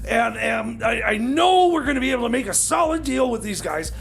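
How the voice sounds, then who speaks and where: A man acting out a flustered, cocky mechanic from a radio comedy. His voice is very high for a man and gravelly, and he stammers and repeats himself as he scrambles along, over a faintly noisy recording. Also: lively intonation, fairly quick, full character voice. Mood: boastful, rambling. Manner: stammering, hesitant, scattered, repeating words. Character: flustered, overconfident male time machine mechanic dressing up punk.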